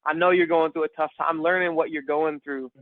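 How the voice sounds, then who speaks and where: Rambling, animated man on a dry thin line. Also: on the high side, a little fast, no room sound. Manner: rambling, animated, says bro and man a lot. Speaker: podcast co-host. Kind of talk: casual podcast chat. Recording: dry phone-like sound.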